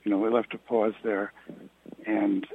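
A man's voice: fairly low pitch, flat delivery, unhurried, gravelly. A man hosting a conference call casually and off the cuff over the telephone. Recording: narrow phone line, faint noise, clicks.

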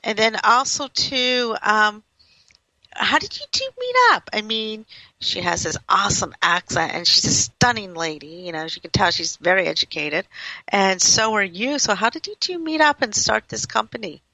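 A woman's voice: mid-range voice, animated, normal speed, bright, forceful tone, no echo. A woman hosting a radio talk show, gushing and rambling as she introduces guests. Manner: effusive, rambling praise. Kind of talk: casual radio interview. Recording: dull, lo-fi radio audio.

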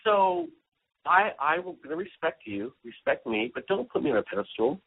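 A man's voice: on the high side, big pitch swings, normal speed, gravelly. A man in his forties from Hawaii, a business strategist speaking as a guest in a casual interview from home, chatty and self-deprecating. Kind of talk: casual talk show interview. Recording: phone-like audio.

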